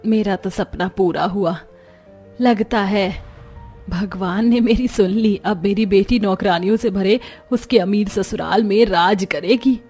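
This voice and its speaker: A woman's voice: mid-range voice, measured pace, a little mellow, slightly rough, faint music behind. A woman voicing a poor, middle-aged mother, a wistful dreamer in a cartoon. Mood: delighted.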